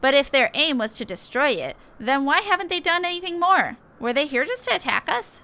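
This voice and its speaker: Woman voicing a high, bright, plucky little moogle. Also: very animated, fairly quick, heavily acted. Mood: earnest, dutiful. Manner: plucky, exclaiming. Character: small earnest moogle guard creature, squeaky and dutiful. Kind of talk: expressive read-aloud of game dialogue.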